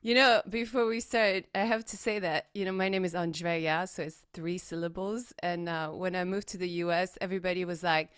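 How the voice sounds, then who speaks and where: A woman podcast host with a smooth, crisp voice that sits a little low for a woman. She talks in an upbeat, emphatic way and repeats phrases for stress. The sound is dry and close, with no room around it. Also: fairly even intonation, brisk, hardly any pauses, no room sound. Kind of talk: podcast intro and casual interview chat.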